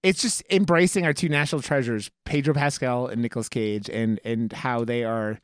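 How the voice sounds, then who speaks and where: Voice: mid-range voice, steady tone, moderate pace, clean tone, no echo, studio quality. A podcast host chatting casually about movies in an emphatic, rambling, swear-heavy way. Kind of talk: casual chat, movie discussion.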